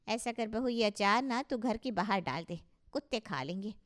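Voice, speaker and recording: A woman's voice: mid-range voice, normal speed, close to an everyday voice. A woman playing a nosy, blunt older mother-in-law in a cartoon story, inquisitive and bossy. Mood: dismissive. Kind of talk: Hindi cartoon story dialogue.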